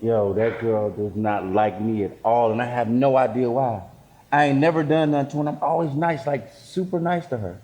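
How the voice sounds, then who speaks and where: A man's voice: mid-range voice, normal speed, mellow, natural voice, low-quality recording. A man playing a laid-back, affectionate college student boyfriend in a stage play. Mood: puzzled. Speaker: stage actor.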